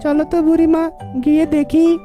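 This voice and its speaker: A woman playing an anxious, sensible villager in a cartoon, urgent and coaxing, with loud music behind. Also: extremely high pitch, monotone, normal speed. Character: anxious, sensible villager urging an old neighbour along.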